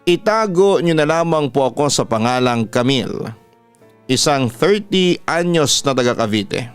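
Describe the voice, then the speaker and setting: Smooth Filipino male storyteller over music. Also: mid-range voice, expressive, a little slow. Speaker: radio announcer and story host. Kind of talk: scripted first-person story narration. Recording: music playing behind the voice. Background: background music.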